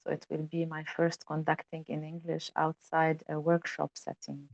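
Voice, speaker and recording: A woman from Lebanon, an Arabic speaker, talking calmly over a video call. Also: low-pitched, normal speed, vocal fry, dry recording. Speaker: playback conductor. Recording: dull video-call audio.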